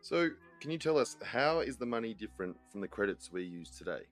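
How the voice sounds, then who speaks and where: A man's voice: mid-range voice, big pitch swings, brisk, faint music behind, natural voice. A man playing a polite, eager radio co-host in a scripted drama interview. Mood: curious.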